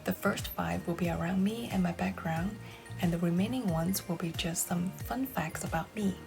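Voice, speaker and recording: Woman vlogger in her forties, Cantonese native, measured and careful, slight fry, music bed under her. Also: fairly low pitch. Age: 40s. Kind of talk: scripted personal vlog.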